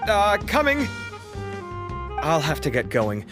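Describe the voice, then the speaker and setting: An actor playing a polite, genial young scholar in a video game, speaking in a courteous, expressive way. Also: male voice, a little high, takes it slowly, over music, exaggerated voice. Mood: polite, friendly.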